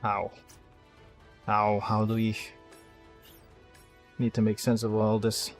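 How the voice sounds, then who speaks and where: A man's voice: lowish voice, monotone, slow pace, faint music behind. A man giving casual gameplay commentary, thinking aloud and muttering half-finished plans with some mild swearing. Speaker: video game streamer.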